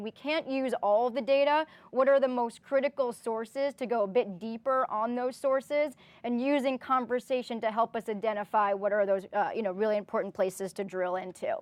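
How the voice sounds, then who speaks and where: A woman's voice: a little high, dry recording. A woman giving an informal conference presentation in a conversational way, often checking in with the listeners with a "right?".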